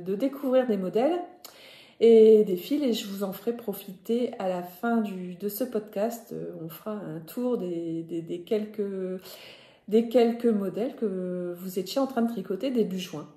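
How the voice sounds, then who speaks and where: A woman's voice: medium pitch, warm tone. A woman from northern France with a Ch'ti accent, hosting a knitting podcast in a casual, hesitant chat full of self-corrections. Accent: Ch'ti (northern French). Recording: echoey room.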